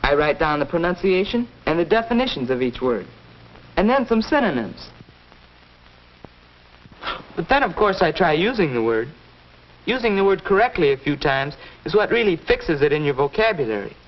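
An actor playing an earnest, articulate young American student son who explains things patiently in a muffled 1940s educational film. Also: male voice, on the high side, big pitch swings, moderate pace, pauses often, slight background noise.

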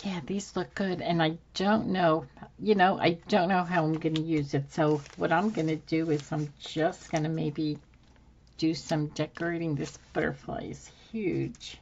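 A woman hosting a casual crafting video at her craft table, a bit hoarse, thinking aloud as she works with many ums. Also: deep voice, steady tone, a little slow, darkish tone, dull audio, slight background noise. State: slightly hoarse from dry throat. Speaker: craft channel host. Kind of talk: casual crafting tutorial chat. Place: home craft table, indoors.

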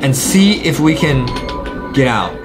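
A young man hosting a gaming video, giving excitable, teasing, slangy gameplay commentary. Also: medium pitch, unhurried. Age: young adult. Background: music.

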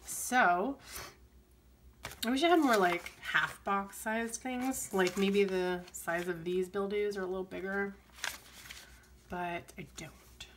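A woman, chatting casually while planning. Her voice is strained and crackly, sometimes dropping deep, and she rambles between soft asides. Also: slow pace, long pauses. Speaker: planner vlogger. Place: home desk, camera overhead on planner.

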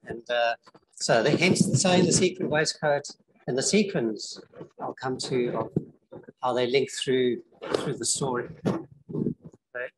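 A man's voice: mid-range voice, takes it slowly, low-quality recording. An older man giving an informal lecture in a lecture theatre, chatty and rambling with frequent restarts. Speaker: guest lecturer.

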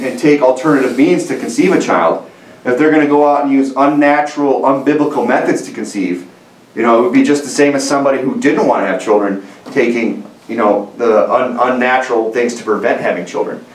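A man in his thirties preaching a sermon in a small church room, earnest and repetitive, often restarting his phrases. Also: mid-range voice. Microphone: camera mic from a distance. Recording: roomy sound from a distant mic.

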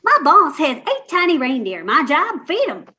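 A woman's voice: high-pitched, huge pitch swings, normal speed, bright, forceful tone, full character voice. A woman playing a chirpy little male brownie elf with a Southern US accent, folksy and bubbly, in a comic radio play. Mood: cheerful, folksy.

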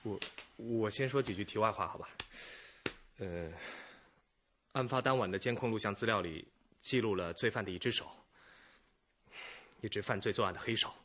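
A man's voice: lowish voice, normal speed, pauses often, fairly bright tone, dull audio. An actor playing an earnest, conscientious male junior police detective in a radio drama. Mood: contrite, earnest.

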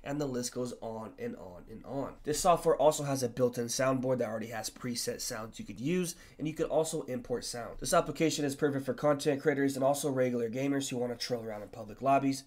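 A man's voice: medium pitch, a little mellow. A young man giving an upbeat, salesy product walkthrough as a YouTube reviewer. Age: young adult. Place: small home gaming room.

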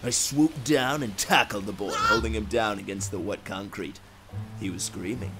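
A man's voice: fairly low pitch, normal speed. A man giving dramatic, self-serious first-person narration of a scripted comic story in a theatrical manner. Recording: music under the narration.

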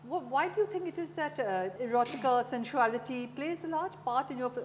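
Animated Indian-accented woman interviewer. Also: high-pitched, fairly quick. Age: middle-aged. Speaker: interviewer, host. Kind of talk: conversational interview. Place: indoor cafe-like room, seated at a long table. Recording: reverberant room with background hum and noise.

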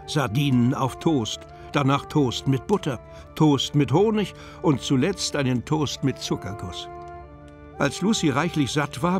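A male narrator reads a scripted storybook tale for an audio drama. His voice sits at a middling pitch, and music plays clearly behind him.

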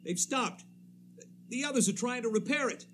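An anxious army lookout with a very high, strained voice. He gives halting reports to his officer in a radio war play. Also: lively intonation, a bit bright, a little hiss or hum. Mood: tense, alarmed. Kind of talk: 1930s radio drama acting.

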